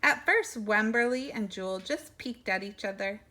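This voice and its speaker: A woman's voice: medium pitch, animated, normal speed, bright, forceful tone. A woman reading a picture book aloud expressively, like a teacher talking to young children, with asides to her listeners.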